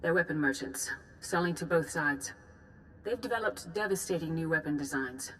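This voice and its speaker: An actress playing a grave, composed female Jedi master in a video game, speaking in a formal, measured, solemn way. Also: low voice, expressive, a bit bright, slight background noise, rough recording. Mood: grave, urgent. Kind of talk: scripted video game dialogue.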